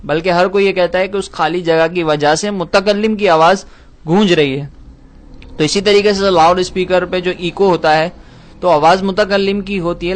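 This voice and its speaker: A man delivers an Islamic question-and-answer lecture in a fairly high, very clean and resonant voice. His wording is formal and scholarly, and he lays out his explanation methodically, point after point.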